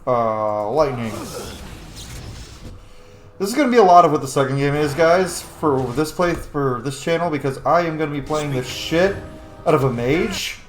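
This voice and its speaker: A man's voice: fairly high pitch, expressive, moderate pace, faint music behind. A man giving casual, sweary let's play commentary as host of a gaming channel. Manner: informal, sweary. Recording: roomy echo with faint noise.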